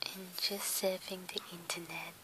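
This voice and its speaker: A woman whose first languages are Cantonese and Mandarin, hosting a soft-spoken ASMR chat from home in a breathy whisper, hesitant and pausing often. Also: fairly low pitch, little pitch movement, noisy background. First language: Cantonese and Mandarin Chinese. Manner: hesitant, simple phrasing with many pauses. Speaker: ASMR channel host. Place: at home at her computer. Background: faint keyboard typing clicks.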